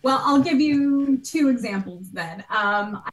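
A woman with a fairly high voice answers questions as a thoughtful guest expert. She talks conversationally, working through ideas aloud. The sound is echoey and a bit distant, like a computer mic on a video call. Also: lively intonation, slow pace. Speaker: guest expert, art history podcaster. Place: small bright room at home. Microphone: video call, computer microphone.

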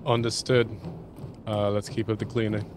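Guy running game squad debrief on voice chat, pauses a lot, bit of background noise. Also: lowish voice, flat delivery. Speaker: squad leader in an online tactical game. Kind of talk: casual team voice chat and debrief. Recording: faintly noisy online game voice chat.

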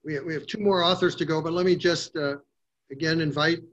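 An older man moderating a book panel over a video call from his home, measured and often pausing with "uh". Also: a little high, steady tone, a bit bright. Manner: measured, with frequent "uh" hesitations. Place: home room. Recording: dull lo-fi video call audio.

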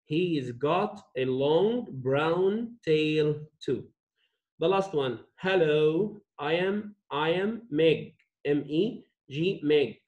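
Guy teacher breaking words into bits, higher voice, super slow, kinda muffled lo-fi recording. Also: expressive, a little mellow. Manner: drawn-out syllable-by-syllable sounding out, repeating each word. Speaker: English teacher. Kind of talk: slow phonics reading lesson, sounding out words. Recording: dull lo-fi screen recording.

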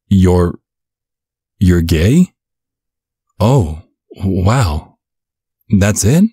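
A man playing a caring, bumbling vampire father in an ASMR roleplay, speaking gently with a stammering, reassuring manner. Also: on the low side, slightly rough, dry recording, studio quality, heavily acted. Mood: surprised, concerned. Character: caring, bumbling vampire father, looks twenty-five, over a century old.

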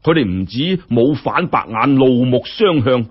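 A man's voice: mid-range voice, sing-song, unhurried, husky, highs cut off. A man with a Hong Kong Cantonese accent narrating a story in a colloquial, theatrical, exclamatory way. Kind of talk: animated scripted story narration.

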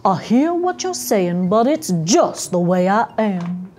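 Give this to a mopey male fish from a storybook , acted out by a woman. He is mournful and sulky, stretching words slowly in a singsong way. The pitch swings about expressively. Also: big pitch swings, darkish tone, slight background noise. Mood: glum. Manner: mournful, drawn-out, singsong blubbing.

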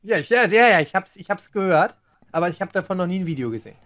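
Man, high pitch, casual reactor, chimes in with short interjections. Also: lively intonation, fast talker, a bit bright. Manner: short agreeing interjections, disbelieving remarks. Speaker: reaction video co-host. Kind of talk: casual commentary on clips.

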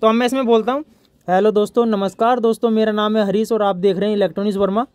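Man, high-pitched, dry close tutorial voice. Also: steady tone, very fast, darkish tone, dry recording. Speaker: electronics tutorial host. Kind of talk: informal hands-on tutorial.

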